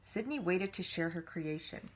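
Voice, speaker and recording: A woman reads a children's storybook aloud in an animated way, leaning on key words with dramatic emphasis. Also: lowish voice, normal speed, low-quality recording. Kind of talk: expressive read-aloud storytelling.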